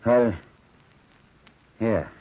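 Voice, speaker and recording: A man's voice: medium pitch, drawn-out words. An actor playing a gnarled, lonely old Frenchman with an abrupt, crotchety manner in a 1940s radio drama. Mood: insistent. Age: older. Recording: muffled, noisy 1940s radio recording.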